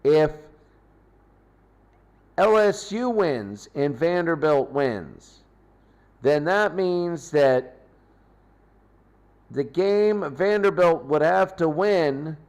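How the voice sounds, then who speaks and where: A man with a slightly high-set voice recaps sports results as a host. He speaks very slowly, in short, halting phrases, working things out aloud without a script. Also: animated, a little mellow. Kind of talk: unscripted sports recap and commentary.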